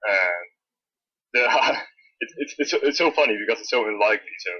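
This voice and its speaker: A man co-hosting a casual review chat, giving his opinions in a halting, hesitant way. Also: medium pitch, expressive, breathy edge, muffled recording. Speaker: co-host reviewing fan fiction.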